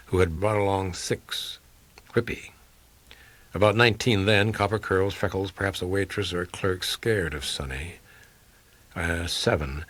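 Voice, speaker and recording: Deep, husky, world-weary private eye, played by a man. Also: fairly bright tone. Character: world-weary male private investigator, blunt and principled, narrating his case. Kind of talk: dramatic first-person audiobook reading of hardboiled crime fiction.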